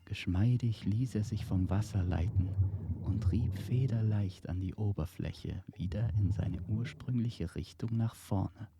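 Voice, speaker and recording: Guy reading fantasy audio drama narration, dark mellow creaky voice, measured and suspenseful. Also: lowish voice, no room sound.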